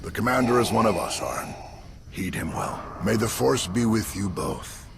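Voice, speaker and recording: A man voice-acting a calm, solemn, fatherly mentor with a gravelly voice in a video game. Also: fairly low pitch, moderate pace, background noise. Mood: calm, gentle.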